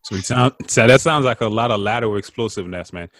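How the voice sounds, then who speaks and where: Low-voiced casual male interviewer. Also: fast talker, fairly bright tone, dry recording, clean studio sound. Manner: casual, friendly, calls the guest man and bro.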